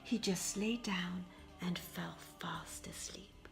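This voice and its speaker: A woman reading a fairy tale as expressive, deliberate narration, with hushed phrase endings. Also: fairly low pitch, vocal fry, faint music behind. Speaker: storybook reader.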